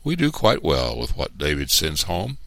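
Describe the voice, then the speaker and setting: Defiant mama, played by a male reader, cold and firm, sharp-edged delivery. Audiobook drama. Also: mid-range voice, moderate pace, creaky, no room sound, voice pushed up. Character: proud, cold, defiant Southern mother, grown woman.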